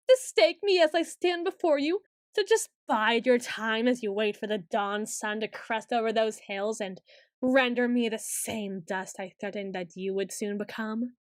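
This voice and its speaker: A woman's voice: sits high, dry recording, clean studio sound. A woman voicing a haughty, centuries-old tsundere vampire noble in an ASMR roleplay, with theatrical, formal and condescending phrasing.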